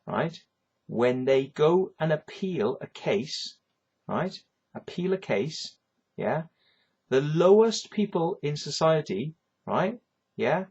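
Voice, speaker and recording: A man's voice: on the high side, big pitch swings, slow, gravelly, a little mellow, husky, dull audio. A man with a Welsh accent delivering a rambling, swearing rant, tagging lines with "yeah" and "right". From Wales. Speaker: vlogger. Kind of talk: ranting monologue to camera.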